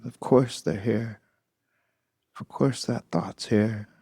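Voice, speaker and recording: Very slow, low-voiced man guiding meditation. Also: dark tone, breathy edge, dry recording, studio quality. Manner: unhurried, with long pauses between short phrases. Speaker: meditation teacher. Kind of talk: guided meditation.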